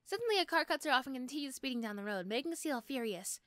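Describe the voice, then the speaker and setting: A woman's voice: high voice, big pitch swings, fast, a bit bright, no room sound, studio quality. A woman narrating a scripted second-person roleplay story.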